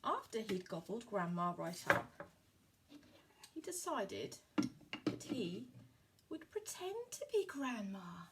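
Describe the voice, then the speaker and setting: A woman telling a children's puppet story in animated fashion, with simple, repetitive phrasing aimed at small children. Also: medium pitch, takes it slowly, long pauses, very noisy.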